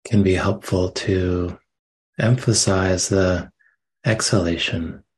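Male meditation teacher, very unhurried delivery, husky low register, gentle phrasing. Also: fairly even intonation. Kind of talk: guided meditation, slow soft instruction.

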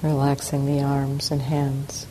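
A woman teaching a guided meditation, giving calm, unhurried instructions with long pauses between phrases. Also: rumbling low, rough recording. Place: meditation center hall. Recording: clear steady background noise.

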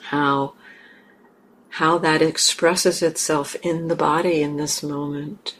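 Woman, guided meditation, low pitch, flat intonation, halting short phrases, gentle, noisy room ambience. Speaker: meditation teacher. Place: meditation center hall. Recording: clear background noise, room ambience.

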